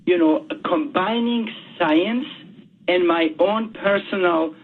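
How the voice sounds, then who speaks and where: A man's voice: high-pitched, a little hiss or hum. Man on a tinny phone line. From Bosnia. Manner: halting, repeats words often while searching for them. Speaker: author guest. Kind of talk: radio interview by phone.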